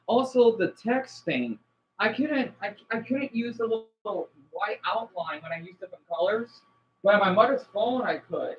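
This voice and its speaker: A man chatting casually to live viewers as a streamer, rambling and halting as he jumps between thoughts. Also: high voice, highs cut off, a little hiss or hum. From United States.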